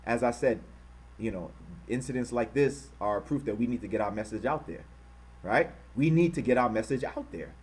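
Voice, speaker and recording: An American man hosting a live-streamed commentary, passionately hammering repeated rhetorical questions and pausing to thank viewers. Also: mid-range voice, a little mellow, slightly rough. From United States. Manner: impassioned, repetitive rhetorical questions. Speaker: online channel host.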